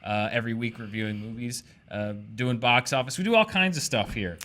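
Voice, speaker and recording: A man with a bright, forceful voice hosts a casual film review. His pitch sits in an ordinary middle range. He talks through his opinions conversationally, restating words to press his point. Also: fairly quick. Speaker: movie review show host.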